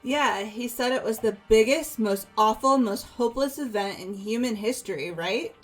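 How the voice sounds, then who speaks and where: A woman's voice: fairly high pitch, normal speed, faint music behind, natural voice, rough recording. A woman voicing a kind-hearted, friendly teenage girl in a casual, sincere manner, as amateur game voice acting. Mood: unsure.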